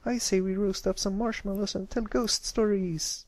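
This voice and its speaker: A man's voice: high voice, steady tone, moderate pace, voice pushed up, natural voice. A man voicing a brash, boastful young tomboy pony filly in a storybook reading, talking defensively. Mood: eager. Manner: loud, defensive, bragging.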